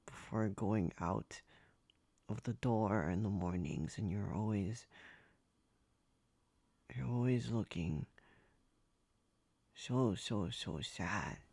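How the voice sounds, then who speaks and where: A man's voice: mid-range voice, no room sound. A man playing a doting pet turned human. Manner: soft, breathy whispering, gentle and adoring. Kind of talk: ASMR roleplay.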